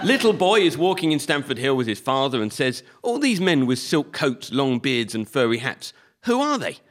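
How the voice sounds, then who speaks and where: A man with a Liverpool accent performing a stand-up comedy monologue with a wry, deadpan delivery. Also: mid-range voice, normal speed, some chatter behind. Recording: live recording with audience noise.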